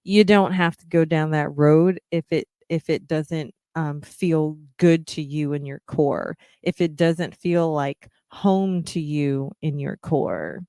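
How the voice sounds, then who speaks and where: Slow, earnest woman podcaster. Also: low-pitched, dark tone, clear, resonant tone, no echo, studio quality. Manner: earnest, encouraging, many ums. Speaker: podcast host, singer. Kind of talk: reflective solo podcast talk.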